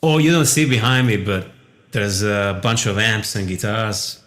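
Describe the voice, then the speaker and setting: Low-voiced man chatting casually. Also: unhurried, a bit bright. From Italy. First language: Italian. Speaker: livestream guest. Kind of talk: casual chat.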